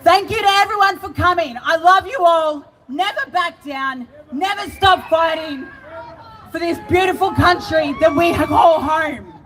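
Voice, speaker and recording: A woman with an Australian accent giving an impassioned, emphatic rally speech, often raising her voice to a shout. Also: very high voice, unhurried, crowd noise, background noise. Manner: emphatic, shouting, chanting slogans. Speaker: political rally speaker.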